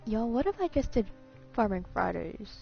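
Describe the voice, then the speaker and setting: A woman's voice: mid-range voice, sing-song, normal speed, gravelly. A young woman chatting casually and musing aloud as she streams a video game. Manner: musing, informal. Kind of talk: casual chat while gaming. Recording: slightly dull stream audio, game music. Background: faint game music.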